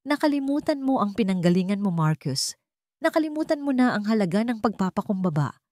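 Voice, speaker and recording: A woman's voice: mid-range voice, sing-song, fairly quick, warm tone, no room sound, pitched above the performer's own voice, natural voice. Woman voicing a stern, commanding company chairman. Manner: commanding, controlled, solemn. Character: stern, righteous male company chairman, wealthy, grateful son. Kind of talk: dramatic audio storybook acting.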